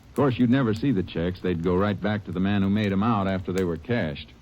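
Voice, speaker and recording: Actor as a deep-voiced, terse Texas Ranger. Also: male voice, a little fast, natural voice. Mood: measured. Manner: terse, matter-of-fact, authoritative. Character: calm, terse, shrewd Texas Ranger investigator. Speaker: lead actor and first-person narrator. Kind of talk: 1950s radio western acting. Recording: dull vintage radio recording, music cues.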